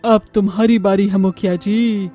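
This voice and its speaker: A man's voice: high voice, fairly even intonation, moderate pace, mellow, faint music behind, close to an everyday voice. A man voicing a fiercely protective, vengeful ghost mother turned witch, with menacing dramatic delivery in a horror cartoon. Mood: vengeful wrath. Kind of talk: Hindi horror cartoon acting.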